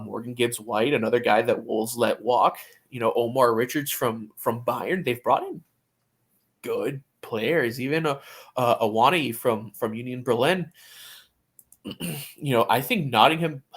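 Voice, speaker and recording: A man co-hosting a sports podcast in casual chat, talking haltingly with repeated words and false starts. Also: medium pitch, breathy edge.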